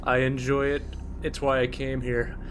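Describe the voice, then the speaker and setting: A man's voice: medium pitch, little pitch movement, normal speed, background noise, close to an everyday voice. A man voicing an unhinged, meat-obsessed crime boss in an improvised comedy game dub, theatrical and given to shrieks. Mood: grandiose. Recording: loud game music behind voice. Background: loud game music.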